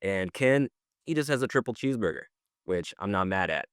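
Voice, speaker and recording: A man's voice: on the low side, lively intonation, fairly quick, smooth, no room sound, studio quality. A middle-aged man co-hosting a podcast, chatting casually about news in an informal, slangy way. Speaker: podcast co-host presenting industry news. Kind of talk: casual chat.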